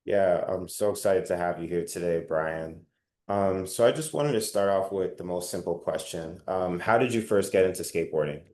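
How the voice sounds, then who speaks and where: A man's voice: low voice, moderate pace, darkish tone. A man hosting an interview, asking questions in a friendly, encouraging and slightly hesitant way. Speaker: interview host. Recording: echoey room.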